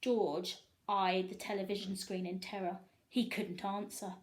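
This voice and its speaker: A woman reading a storybook aloud to young children in an expressive storyteller style. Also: mid-range voice, measured pace.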